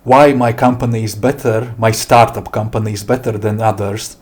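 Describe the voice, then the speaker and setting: Latvian-accented man presenting a software demo. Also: lowish voice, little pitch movement, slightly rough. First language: not English. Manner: deliberate, hesitant phrasing in non-native English. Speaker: software developer presenting his product. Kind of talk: informal screen demo walkthrough.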